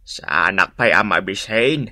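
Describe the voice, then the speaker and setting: Man acting old father-in-law, sharp forceful voice, very lively intonation, complaining, radio comedy. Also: deep voice, measured pace, no echo, clean studio sound, heavily acted. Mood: grumbling.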